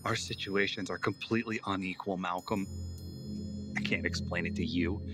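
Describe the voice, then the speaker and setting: A man's voice: deep voice, fairly even intonation, normal speed, over loud music, lightly acted. An actor playing a sarcastic, resentful man in recovery from addiction, blunt and defensive, in an audio drama. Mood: defensive, weary.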